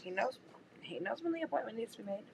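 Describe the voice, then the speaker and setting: A woman's voice: fairly high pitch, lively intonation, fast, vocal fry, a little mellow, slight background noise. A woman co-hosting a casual podcast chat, blunt and joking, swearing freely. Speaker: podcast co-host.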